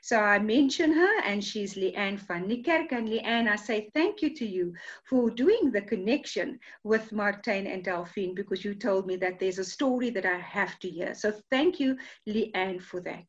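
A woman's voice: medium pitch, expressive, unhurried. Woman radio host, polite and formal, interviewing a guest, dull lo-fi broadcast sound. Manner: courteous and formal, with frequent "uh" fillers.

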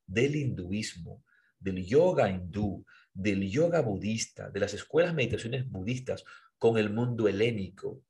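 A low-voiced man, a husky edge to his speech. He talks in an earnest, sermon-like way on a podcast, restarting phrases as he searches for words. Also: animated, a little slow, darkish tone. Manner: earnest, preachy, repeats and restarts words while thinking. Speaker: podcast co-host. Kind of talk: reflective podcast conversation.

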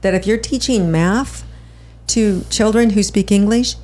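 A woman chatting on a podcast as host and spiritual channeler, rambling with quick laughs. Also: mid-range voice, dark tone. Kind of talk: casual chat.

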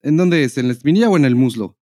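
A man's voice: mid-range voice, expressive, quick pace, dark tone, dry recording, studio quality. A man with a Mexican accent chatting casually as a podcast co-host, slangy and sweary, repeating phrases for emphasis. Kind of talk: casual comic chat.

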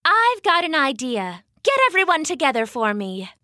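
A woman's voice: sits high, big pitch swings, moderate pace, sharp tone, dry recording, studio quality, pitched above the performer's own voice, exaggerated voice. A woman voicing a caring female mummy in a storybook reading, speaking earnestly.

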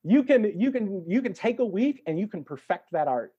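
A man's voice: high-pitched, big pitch swings, normal speed, dark tone, breathy edge, dry recording, muffled recording. A man talking in a reflective, conversational way as a podcast guest, encouraging and reassuring as he gives advice. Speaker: podcast guest, actor and tarot reader.

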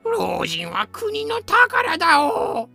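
A man voicing an excitable, eager young man with exaggerated delivery in an amateur comic voice drama. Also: extremely high pitch, extremely slow, vocal fry, soft background music, heavily acted.

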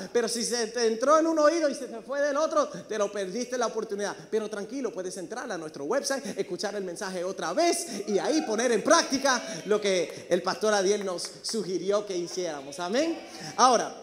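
A man's voice: sits high, a bit bright. A man preaching a sermon, emphatic and calling out to his congregation in a church. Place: church sanctuary. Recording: long reverb of a large hall.

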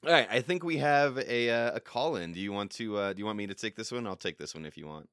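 A man's voice: on the low side, lively intonation, fast, fairly bright tone, clear, resonant tone, no echo, clean studio sound. A man co-hosting a comedy podcast, chatting casually and rambling off on tangents with lots of "like" and restarts. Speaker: podcast co-host.

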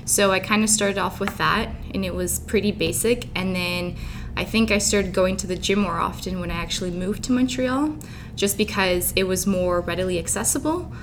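A young woman who grew up in Canada and Dubai, chatting informally and openly as an interview guest. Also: normal speed. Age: young adult. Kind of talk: casual chat. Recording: clear background rumbling noise.

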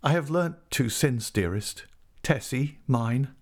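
A man's voice: mid-range voice, sing-song, measured pace, darkish tone, voice pushed up. A man voicing a gaunt, remorseful husband who pleads earnestly and tenderly, in a dramatised audiobook of a classic novel. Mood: pleading. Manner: earnest, imploring.

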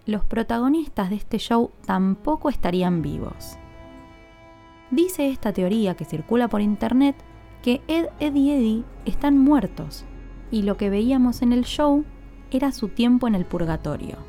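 A woman hosting a podcast, telling a scripted story in a measured, explanatory way with emphatic openers. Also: a little high, animated, dark tone, faint music behind. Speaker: podcast host.

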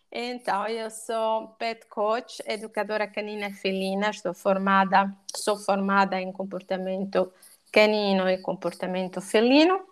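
A woman's voice: mid-range voice, steady tone, a little slow, clean tone. A woman from Italy with an Italian accent, a pet behaviour coach speaking as a podcast guest, talking with frequent fillers and restarts. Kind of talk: podcast interview.

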